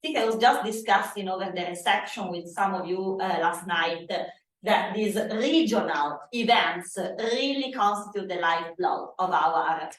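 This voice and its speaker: A woman with an Italian accent giving a conference keynote in a loud, animated way. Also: medium pitch, measured pace, distant mic. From Italy. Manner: animated, loud, self-deprecating asides. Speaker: keynote speaker. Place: conference hall. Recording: echoey hall from afar.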